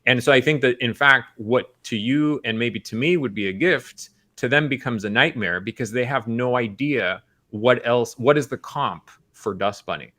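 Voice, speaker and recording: A man's voice: medium pitch, normal speed, few pauses, pushed and bright. An American man on a film talk show, reasoning analytically through his points in casual conversation.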